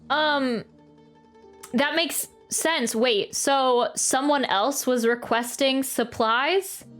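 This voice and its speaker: A woman gives casual live commentary on a game. Her voice is fairly high and her delivery slow, thinking aloud and circling back over phrases. Game music plays behind her. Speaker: game streamer.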